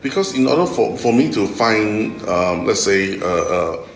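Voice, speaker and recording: A middle-aged man with a Malaysian accent giving halting, explanatory interview answers, often repeating words and ending phrases with "okay". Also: lowish voice, animated, a little hiss or hum. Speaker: interviewee, tech executive. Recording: echoey room, music underneath.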